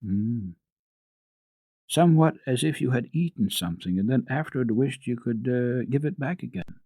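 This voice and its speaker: A man playing a kindly, calm family doctor in a storybook, questioning and reassuring in a gentle, measured manner. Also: mid-range voice, husky, no echo, voice pushed up. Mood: probing. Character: kindly male family doctor, calm, soothing, quietly probing.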